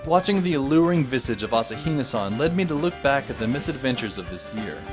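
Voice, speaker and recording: A man's voice: medium pitch, very animated, fast, over music. A man narrating a story in the first person with a dry, sardonic manner.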